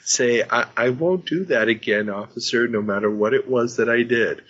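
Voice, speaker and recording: Male podcast host, chatty and self-mocking, husky voice, dull lo-fi sound. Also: mid-range voice, moderate pace, a bit bright. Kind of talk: casual chat. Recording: slightly dull lo-fi podcast audio.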